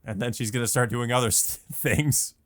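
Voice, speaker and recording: A man's voice: mid-range voice, moderate pace, no room sound, clean studio sound. A man hosting a let's play, reading a visual novel aloud with casual, amused, sometimes profane commentary.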